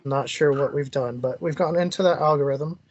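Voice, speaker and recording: A man's voice: fairly high pitch, fairly quick, no room sound, dull audio. A man hosting an interview, asking questions in a casual, conversational way. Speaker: interview host.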